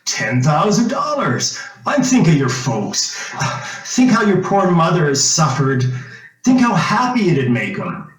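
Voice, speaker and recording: An echoing radio-play voice with music behind it: a man playing a folksy, wheedling ex-police chief. He is somewhat high-pitched, swoops around a lot, and stammers his way into every pitch he makes. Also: huge pitch swings, unhurried. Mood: persuasive. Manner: hesitant, stammering, folksy, coaxing. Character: insistent, wheedling former small-town police chief. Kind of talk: old-time radio drama acting. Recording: roomy echo, music underneath.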